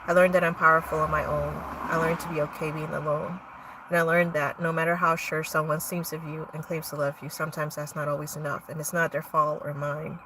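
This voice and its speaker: A woman's voice: sits low, flat delivery, fairly quick, far from the mic, background noise. A young woman hosting a livestream, reading her own poems aloud with casual asides between them.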